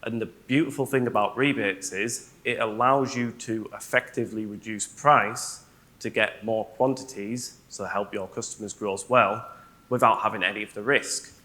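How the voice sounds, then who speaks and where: A man's voice: fairly low pitch, fairly even intonation, moderate pace, sharp tone. A man speaking on a conference panel as a business manager, explaining his points step by step and often checking in with "right?".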